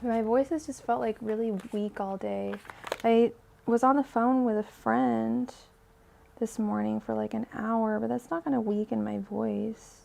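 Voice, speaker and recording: A woman's voice: fairly high pitch, steady tone, unhurried, creaky, a little mellow, a little hiss or hum. A young woman hosting a live tarot stream, chatting casually with viewers and saying her voice feels weak today. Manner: chatty, filler-heavy, addresses viewers by name. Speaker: tarot reader hosting a livestream. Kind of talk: casual livestream chat.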